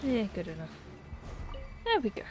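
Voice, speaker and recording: A woman's voice: fairly high pitch, wildly swooping pitch, moderate pace. A woman doing casual live commentary as she streams a video game, muttering reactions as she plays. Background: game music.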